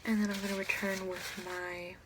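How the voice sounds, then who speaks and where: A young woman whispering breathily in an ASMR roleplay as a doctor, slow, careful and soothing. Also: medium pitch, flat delivery, heavy background noise. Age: young adult. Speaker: ASMR performer playing a doctor.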